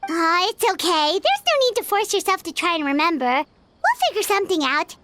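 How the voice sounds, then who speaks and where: Squeaky, perky little fairy girl. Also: very animated, moderate pace, background noise. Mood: sympathetic. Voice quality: squeaky, childlike. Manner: chirpy, childlike, refers to self by name. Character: small childlike fairy companion, female, perky, curious and blunt. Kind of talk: video game voice acting.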